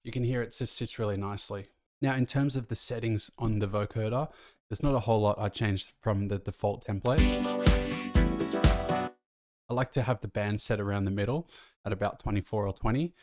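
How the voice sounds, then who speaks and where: A man's voice: lowish voice, fairly quick. A man casually explaining music production as a tutor, talking through each step of a tutorial. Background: faint music from the track.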